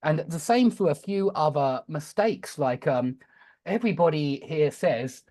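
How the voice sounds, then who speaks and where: A man teaching an online English lesson, explaining and gently correcting his student's mistakes. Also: on the high side, lively intonation, a little slow. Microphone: video call.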